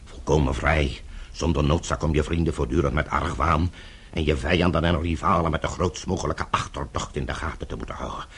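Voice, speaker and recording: Very deep, gravelly older man. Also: fairly quick, full character voice. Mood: bitter, anguished. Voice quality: gravelly, husky. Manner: brooding, confessional, emphatic. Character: weary, bitter older Eastern Bloc politician, guilt-ridden. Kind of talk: radio drama acting.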